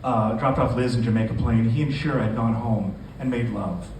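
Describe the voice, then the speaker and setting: A man's voice: medium pitch, fairly even intonation, fairly quick. A man, a novelist, speaking to introduce and read his fiction at a live indoor literary event. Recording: echoey room from a distance, noisy.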